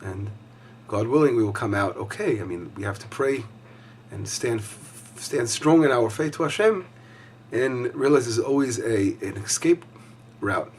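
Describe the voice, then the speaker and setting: A hoarse man teaching a weekly Torah lesson as a rabbi, talking conversationally with frequent fillers and restarts. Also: medium pitch, expressive, measured pace, darkish tone, slight background noise.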